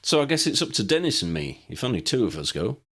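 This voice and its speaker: A man's voice: mid-range voice, sing-song, quick pace, pitched above the performer's own voice. A man voicing a practical, sceptical young male wizard in an audiobook reading, in a matter-of-fact manner.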